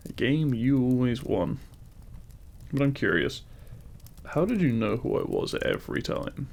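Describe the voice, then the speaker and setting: Demon king romantic lead, male actor: very heavy vocal fry, tender and teasing, courtly wording, intimate ASMR roleplay delivery. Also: medium pitch, animated, measured pace, a little mellow. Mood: fond, amused. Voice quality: gravelly. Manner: tender, formal, courtly phrasing.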